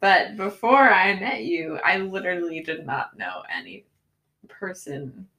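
A woman's voice: lowish voice, sing-song, measured pace, pushed and bright. A woman co-hosting a podcast in casual chat, hesitant and self-deprecating, often breaking into laughter. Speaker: podcast co-host.

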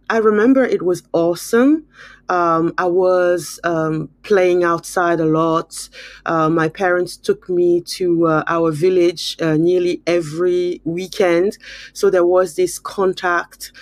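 A woman's voice: on the low side, fairly even intonation, unhurried, no room sound, studio quality. A middle-aged woman from Cameroon talking reflectively and candidly about her own life as an interview guest. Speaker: interview guest, school principal. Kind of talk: conversational interview, personal recollection.

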